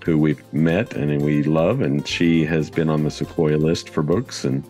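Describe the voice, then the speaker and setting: A man's voice: a bass voice, fairly even intonation, measured pace, mellow, big-room sound, faint music behind. A man co-hosting a podcast in a casual, folksy and encouraging chat. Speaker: podcast co-host.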